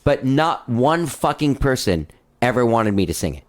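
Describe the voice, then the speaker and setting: A man with a high voice, hosting a loose, rambling interview full of "like" and swearing. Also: very animated, moderate pace. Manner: rambling, swears freely, many "like" fillers. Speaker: podcast host. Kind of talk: casual interview chat.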